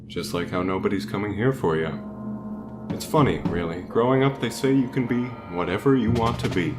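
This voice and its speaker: An actor playing a cold, taunting villain who controls minds, in an amateur superhero audio drama. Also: male voice, fairly low pitch, normal speed, music in the background, lightly acted. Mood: mocking. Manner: taunting, mock-admiring. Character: gloating cold male mind-controlling villain.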